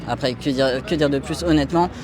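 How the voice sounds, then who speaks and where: A young man answering a street interviewer in a calm, matter-of-fact way. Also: mid-range voice, brisk, a crowd in the background. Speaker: passer-by interviewee. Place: busy city square outdoors. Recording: street traffic noise.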